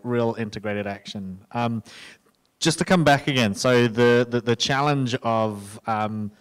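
A man moderating a panel on an event stage, asking long questions with halting restarts into a handheld microphone. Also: on the low side, clean tone. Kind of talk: panel discussion. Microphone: handheld mic.

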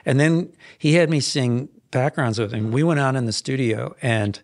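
A man in his fifties, a songwriter guest in a podcast studio, telling stories in a rambling, animated way with frequent restarts. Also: medium pitch, moderate pace, no room sound. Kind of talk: casual interview storytelling. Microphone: headphones and boom mic.

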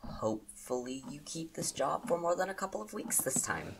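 A woman's voice: low-pitched, big pitch swings, normal speed, vocal fry, slight background noise, heavily acted, low-quality recording. A woman playing a bossy, caring young goth witch roommate in an audio drama, teasing fondly. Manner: bossy, mock-threatening, fond teasing.

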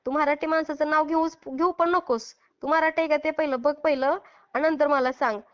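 A woman's voice: sits high, steady tone, moderate pace. A Maharashtrian woman arguing heatedly on a phone call, scolding and taunting in a confrontational way. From Maharashtra, India. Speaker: prank caller who posts call recordings. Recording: dull lo-fi phone call recording.

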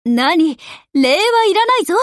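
An imperious anime game warship girl with a very high, sweeping voice. She speaks slowly and pompously, like royalty. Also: very animated, no echo, clean studio sound, heavily acted. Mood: haughty, flustered. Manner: pompous, regal, archaic phrasing. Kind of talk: anime game character voice acting.

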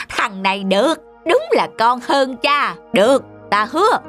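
Cartoon villain: greedy, pompous village landlord played by a woman, high and swooping delivery, music underneath. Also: sing-song, measured pace, fairly bright tone, heavily acted. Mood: smug, sly. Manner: bullying, scoffing, pompous. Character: blustering, greedy, stout middle-aged male village landlord. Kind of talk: exaggerated children's cartoon acting.

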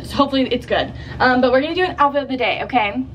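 A woman's voice: high-pitched, very fast, fairly bright tone, highs cut off, a little hiss or hum. A young woman talking to a handheld camera in a room and a car, chatty and upbeat with lots of fillers. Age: young adult. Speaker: vlogger. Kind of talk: casual chat to camera. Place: hotel room, then parked car.

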